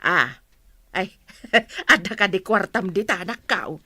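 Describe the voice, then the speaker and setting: Older village mother, radio comedy drama, female actor: piercing, very expressive, talkative, Ilocano accent. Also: mid-range voice, slow pace, slightly rough, no room sound, exaggerated voice. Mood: chatty, pleased.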